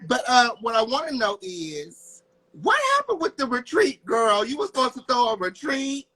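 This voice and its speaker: A man's voice: very high voice, very animated, normal speed, bright, forceful tone, low-quality recording. An American man in casual, gossipy confrontation, sassy and slangy, in the role of a talk host. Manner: sassy, slangy, addresses listener as girl.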